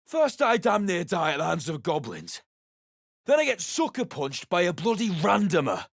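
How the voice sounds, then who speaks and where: A middle-aged man voicing a surly, weary male mercenary adventurer in a video game, talking in a gruff, blunt and sarcastic way. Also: high voice, big pitch swings, normal speed, no echo, clean studio sound, full character voice. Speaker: game character.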